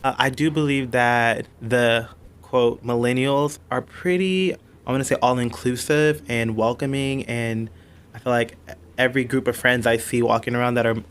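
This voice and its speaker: A man's voice: mid-range voice, fairly even intonation, moderate pace, a bit bright, clear, resonant tone. A man in his twenties giving casual answers as an interviewee. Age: 20s.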